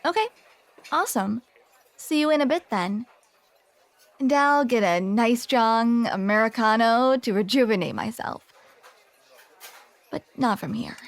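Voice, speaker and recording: A woman playing a grumpy, flustered tsundere barista with a secret soft side in an ASMR roleplay, sardonic, self-deprecating and awkwardly halting. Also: fairly high pitch, animated, no echo. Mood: awkwardly keen.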